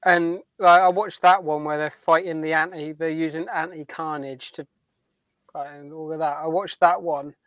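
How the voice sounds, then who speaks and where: A man co-hosting a podcast, chatting informally in a halting, casual way. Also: a little high, steady tone, fairly bright tone, dry recording. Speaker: podcast co-host. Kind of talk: casual chat.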